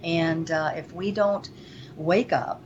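An earnest woman from Venezuela with a lowish voice rambles through her answers, full of fillers. The recording is dull and lo-fi. Faint noise sits beneath it. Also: steady tone, takes it slowly, darkish tone. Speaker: guest. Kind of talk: interview.

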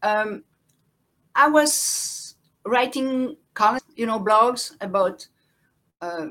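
Halting French-accented woman, fairly high voice. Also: animated, a bit bright, poor audio. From born in Central Africa, raised in France. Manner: halting, searching for words with many restarts. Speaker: guest. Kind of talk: personal life story in conversation.

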